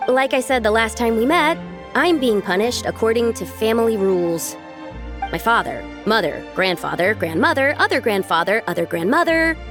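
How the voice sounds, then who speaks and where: An actress playing an earnest, dramatic young village woman in a video game, expressive and a little plaintive. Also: on the high side, moderate pace, over music, close to an everyday voice. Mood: sheepish.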